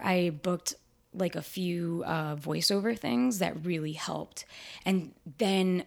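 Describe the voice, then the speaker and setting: A young woman with a raspy, husky voice that sits a little low for a woman. She talks candidly and casually about her work, full of fillers and asides, as a guest in an interview. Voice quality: raspy, fairly deep voice. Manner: candid and chatty, with frequent fillers and laughs.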